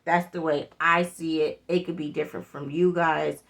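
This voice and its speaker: A woman's voice: low-pitched, little pitch movement, normal speed, vocal fry, fairly bright tone. A middle-aged woman chatting casually as she hosts a demonstration video, repeating her points for emphasis. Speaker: prepper vlog host. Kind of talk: casual product demonstration chat.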